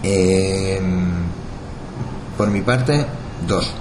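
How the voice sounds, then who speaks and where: A middle-aged man giving a documentary interview as a retired intelligence agent, speaking haltingly with many restarts and fillers. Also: sits low. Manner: halting, restarts words, frequent filler "o sea". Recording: echoey room with background noise.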